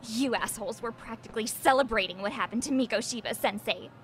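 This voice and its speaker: A woman's voice: a little high, fast talker, a little hiss or hum, close to an everyday voice. A woman voicing an abrasive, scornful teenage schoolgirl in a video game dub. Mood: contemptuous. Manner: scornful, brash. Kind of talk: English game dub dialogue.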